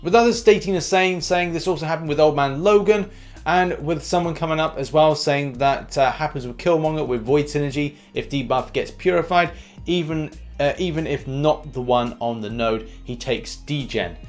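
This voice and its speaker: A man's voice: on the high side, moderate pace. Man presenting informal news over music. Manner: informal, conversational. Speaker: host of a gaming news video. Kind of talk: video news commentary. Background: background music.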